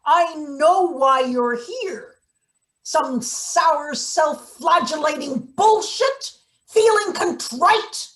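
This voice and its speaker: An actress playing a bitter, paranoid, scheming maintenance worker in a play reading, halting and sardonic. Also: female voice, sits high, expressive, a bit bright, full character voice. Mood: accusing, contemptuous. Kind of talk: live table read of a satirical stage play.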